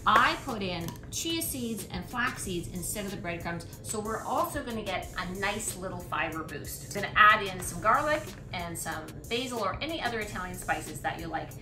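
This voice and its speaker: A woman's voice: medium pitch, lively intonation, normal speed, few pauses. Chatty woman cooking in an echoey kitchen. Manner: chatty, thinks aloud while cooking. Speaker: cooking vlogger. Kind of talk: casual cooking demonstration. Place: home kitchen. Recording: echoey kitchen with background music. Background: music.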